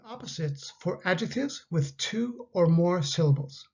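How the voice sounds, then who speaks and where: A Canadian man with a husky, breathy voice reads out a grammar lesson for learners of English, spacing each example sentence out. The recording has a slightly dull, muffled sound. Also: high voice, animated, darkish tone. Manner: slow, carefully enunciated example sentences. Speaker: English teacher.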